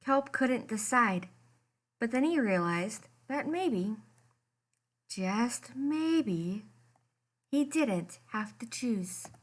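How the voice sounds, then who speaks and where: A woman reading a children's picture book aloud as expressive storytime narration. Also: fairly high pitch, very slow, long pauses.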